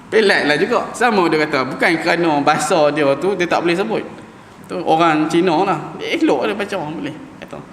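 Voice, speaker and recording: A man leads a Quran recitation lesson, with a slightly high, gravelly voice. He talks informally and stretches out Arabic sounds slowly when he demonstrates them. His voice rings through a large mosque hall with a long, echoing reverb and a faint hum behind it. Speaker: Quran teacher.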